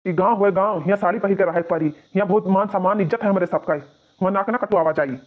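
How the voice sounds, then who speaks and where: A man's voice: sits high, quick pace, lightly acted. A man voicing an exasperated young village husband in a cartoon comedy, nagging and grumbling with an Awadhi accent on muffled lo-fi audio. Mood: scolding. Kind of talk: exaggerated cartoon comedy bickering.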